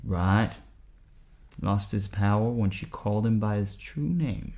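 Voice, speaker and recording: Low-voiced, weary night driver. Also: male voice, unhurried, a little mellow. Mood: wry, friendly. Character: weary, troubled, affable man driving at night. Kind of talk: audio drama acting, narration and dialogue.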